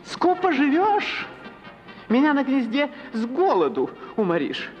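Fussy, swooping high-pitched lady heron. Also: unhurried, exaggerated voice. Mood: haughty, indignant. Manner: theatrical, expressive. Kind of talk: storybook cartoon character narration. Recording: dull old film soundtrack with music.

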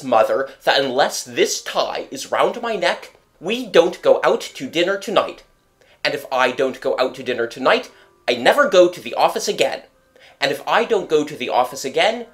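A reader voicing a blustering, pompous father in a storybook, loud and sarcastic. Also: mid-range voice, close to an everyday voice.

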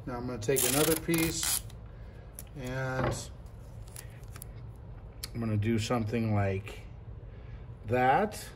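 A middle-aged man walks through a product demo in a relaxed, chatty way, narrating each step as he goes. He repeats himself often and talks like he is showing a friend. His voice sits at a middle pitch, with a faint hum of room noise behind him in a classroom. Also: slow, pauses often. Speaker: product reviewer. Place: classroom at a table.

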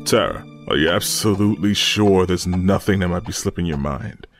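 A man's voice: low-pitched, normal speed, over music. A man playing a grave, persistent investigator in an audio drama. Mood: grave, probing.

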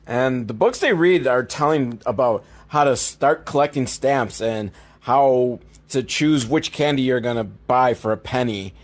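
A man's voice: mid-range voice, unhurried. Rambling, sweary male podcast host. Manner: rambling, sweary, mock-exasperated. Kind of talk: casual comedic film recap commentary.

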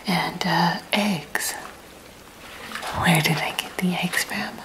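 A woman's voice: lowish voice, fairly even intonation, slow, noisy background. A woman playing a prim, unhinged alien housewife in an ASMR roleplay, whispering sugary, smug small talk into a close mic. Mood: sweet whisper. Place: pink kitchen set, indoors.

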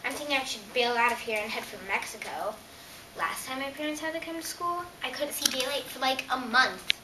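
A woman's voice: sits high, a little fast, close to an everyday voice. A girl acting a worried school kid in trouble, confiding in a dramatic stage monologue. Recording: noisy echoey room, distant mic.

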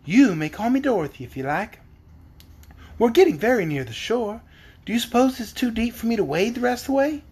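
Someone playing an earnest young country girl, lively. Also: medium pitch, fairly quick, husky, voice pushed up. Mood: polite, friendly. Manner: earnest, slightly prim.

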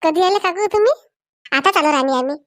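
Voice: very high-pitched, animated, fairly quick, a bit bright, no room sound, studio quality. A performer voicing an outspoken young village woman in a comedy cartoon, blunt and chatty. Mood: cheeky, chatty. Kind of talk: Marathi comedy cartoon dialogue.

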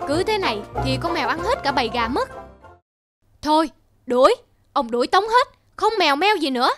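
A woman's voice: high voice, sing-song, brisk. A woman voicing a greedy, stingy old male rice merchant in a cartoon, gloating and ranting shrilly. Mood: outraged. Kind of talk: cartoon voice acting. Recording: loud cartoon music underneath.

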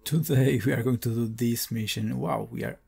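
A young man hosting a let's play, chatting casually and rambling with many fillers; his voice is bassy. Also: steady tone, normal speed, gravelly, a little mellow, husky. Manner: rambling, hesitant, filler-heavy. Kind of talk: casual gameplay commentary.

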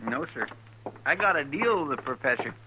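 An actor playing a gullible, deferential sidekick in a 1950s radio western, with a folksy, naive manner. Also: male voice, a little high, animated, moderate pace, natural voice. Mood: eager, defensive. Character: gullible, deferential male frontier sidekick, eager and simple. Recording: noisy, crackly 1950s radio recording.

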